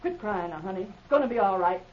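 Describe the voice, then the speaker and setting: A tough, protective frontier businesswoman played by an actress speaks bluntly with folksy slang and big swings of pitch. It is 1940s radio drama, heard on a dull lo-fi recording. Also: animated, fast talker, background noise, lightly acted. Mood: soothing. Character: tough, protective woman running a frontier entertainment house.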